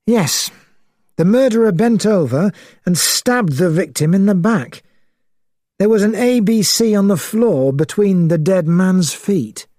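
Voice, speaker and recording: A man's voice: high-pitched, expressive, unhurried, darkish tone, dry recording, studio quality, voice pushed up. Man as a courteous, worried police colonel. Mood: worried, polite. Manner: earnest, courteous.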